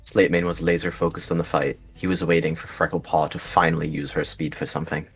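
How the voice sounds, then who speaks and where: A man's voice: extremely low pitch, little pitch movement, fast talker, breathy edge, soft background music. A man narrating his character's actions in a descriptive roleplay game.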